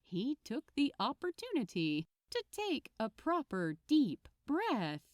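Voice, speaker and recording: A woman reads fiction aloud with a highly animated, varied melody. She takes her time over the phrases, in a close, dry recording with little room sound. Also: slow, dry recording. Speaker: audiobook narrator. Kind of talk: expressive scripted fiction narration.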